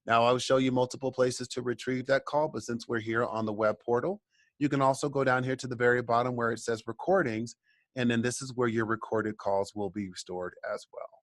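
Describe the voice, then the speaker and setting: A man with a medium-pitched voice leads a how-to tutorial. He explains things step by step and addresses the viewer directly. The sound is dry, without room reverb. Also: fairly even intonation, fairly quick. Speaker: tutorial instructor. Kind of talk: software tutorial walkthrough, semi-scripted explanation.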